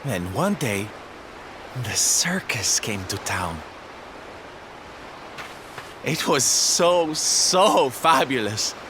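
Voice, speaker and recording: An actor playing a flamboyant, sentimental young circus performer and would-be knight in a video game cutscene, speaking in a theatrical, emotional way. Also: male voice, medium pitch, very animated, extremely slow, pauses often, noisy background, exaggerated voice. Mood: wistful, nervous. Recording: music behind the voice.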